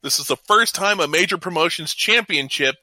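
A man co-hosting a casual radio talk show, reading out trivia with halting introductions. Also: fairly high pitch, lively intonation, sharp tone, no echo.

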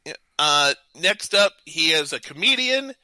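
A man's voice: on the high side, big pitch swings, measured pace, sharp tone, no room sound. A man hosting a trivia game on a casual talk show, speaking informally and hesitating a little when he ad-libs. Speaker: podcast host running a trivia game.

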